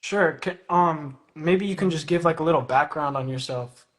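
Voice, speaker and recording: A man's voice: on the high side, moderate pace, a bit bright. A young man hosting a casual phone-streamed interview, hesitant and full of fillers. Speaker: interviewer host. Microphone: phone on a live stream.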